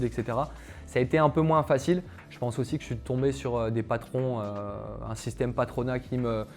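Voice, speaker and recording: A young man talks straight to his viewers in a loose, chatty monologue. He fills it with hesitations and asides. His voice sits at an ordinary middle pitch, and quiet music plays underneath. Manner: chatty, filler-heavy, addresses viewers directly. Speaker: calisthenics coach. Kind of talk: casual personal story monologue to camera.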